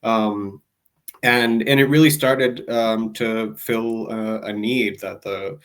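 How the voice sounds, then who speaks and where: A man's voice: lowish voice, fairly even intonation, slow. A man from Puerto Rico answering interview questions earnestly, with frequent restarts and fillers. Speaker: guest, advocacy group president.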